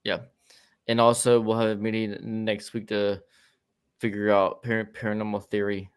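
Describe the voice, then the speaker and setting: A man's voice: on the low side, little pitch movement, moderate pace, clear, resonant tone. A man co-hosting a podcast in loose, rambling casual chat, with casual swearing and lots of restarts. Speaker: podcast co-host.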